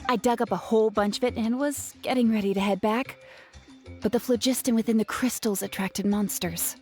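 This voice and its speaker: A woman playing an earnest, grateful gem artisan in a video game, telling things in a soft-hearted way. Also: a little high, steady tone, a little fast, soft background music, natural voice. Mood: earnest, explaining.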